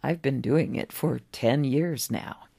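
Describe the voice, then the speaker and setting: A woman playing a slow, gentle, creaky old man. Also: extremely low pitch, heavily acted. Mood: fond, musing. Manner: musing, kindly, slow, with rhetorical asides. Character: gentle, wistful, very old man, self-styled professor.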